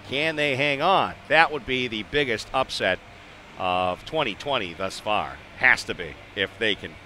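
A man's voice: lowish voice, pushed and bright, slight background noise. An American man giving a live intermission report as a hockey play-by-play announcer. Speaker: play-by-play sportscaster.

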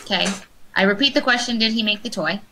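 A young woman playing a tabletop game, chatting in a quick, playful way on a video call. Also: medium pitch, bright, forceful tone, a little hiss or hum. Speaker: player. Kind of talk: casual tabletop banter. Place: home room on a video call.

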